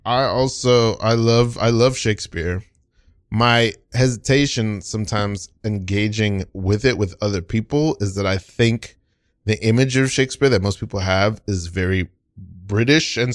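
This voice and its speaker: A man with an American accent hosts a casual podcast. His voice sits on the low side and he gives opinions with emphasis. The recording is dry, with hardly any room in it. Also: measured pace, dry recording. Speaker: podcast host.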